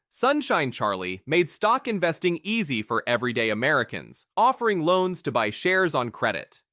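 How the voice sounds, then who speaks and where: A man narrating a history quiz from a script, with an energetic, emphatic delivery. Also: medium pitch, no room sound, studio quality.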